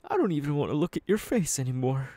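A man playing a menacing, unhinged young supervillain boss in an ASMR audio roleplay, threatening his underlings slowly and deliberately. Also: a little high, very animated, warm tone, no room sound. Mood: threatening anger.

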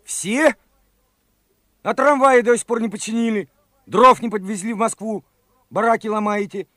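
A young soldier son in a Soviet radio play. His voice is very high for a man and closely recorded, without room sound. He comes across as eager, naive and full of wonder, with plain folksy speech. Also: moderate pace, fairly bright tone, no echo, studio quality. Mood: doubtful.

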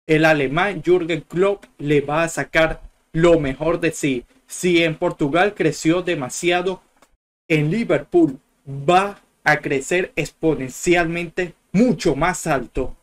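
A man's voice: fairly high pitch, takes it slowly. A young man from Colombia hosting a football channel, commenting casually on clips from a small room at his desk. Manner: chatty, rambling with restarts.

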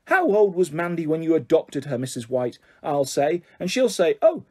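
A cruel young schoolgirl bully in a storybook reading, acted out by a man. The voice is raised and a little husky, and it taunts in mocking, sing-song baby talk. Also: a little high, sing-song, normal speed, darkish tone. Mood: gloating.

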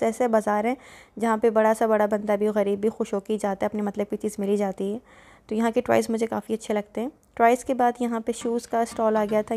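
Fast-talking woman, soft dark voice. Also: a little high. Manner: chatty, explains prices step by step. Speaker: vlogger touring a market. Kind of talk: casual voice-over narration.

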